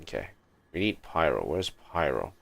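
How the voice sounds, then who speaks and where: A man from Malaysia giving low-key, muttered, casual commentary as he hosts a video game livestream. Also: big pitch swings, moderate pace, a bit bright. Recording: clearly noisy mic with clicks.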